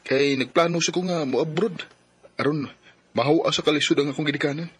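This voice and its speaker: A man's voice: on the high side, fairly quick, fairly bright tone, breathy edge. An actor with a Filipino Cebuano accent playing an ambitious, remorseful young man in a Bisaya radio drama. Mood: earnest, hopeful. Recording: radio drama with music underneath.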